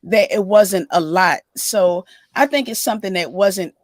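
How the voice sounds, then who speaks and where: Hoarse woman losing her voice. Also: medium pitch, no room sound, studio quality. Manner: measured, explaining at length. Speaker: livestream co-host. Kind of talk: casual gossip chat.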